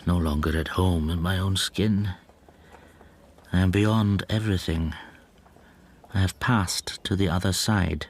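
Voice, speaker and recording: An actor playing a timid foreign stamp dealer in a radio drama, speaking softly and brooding. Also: male voice, sits low, steady tone, a little slow, long pauses. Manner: soft, subdued, brooding.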